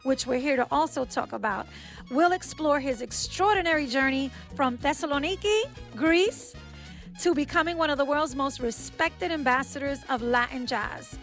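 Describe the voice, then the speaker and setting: A woman's voice: sits high, normal speed, a bit bright, soft background music. A woman co-hosting a radio show, reading a polished guest introduction and asking questions. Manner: upbeat, scripted-sounding introductions. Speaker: radio co-host.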